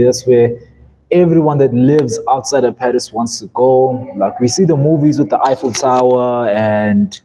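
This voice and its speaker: A man's voice: medium pitch, warm tone, slight background noise, poor audio. A young man from South Africa, whose first language is Zulu, chatting casually and rambling on a live stream, often saying "man". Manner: laid-back, rambling, says "man" often.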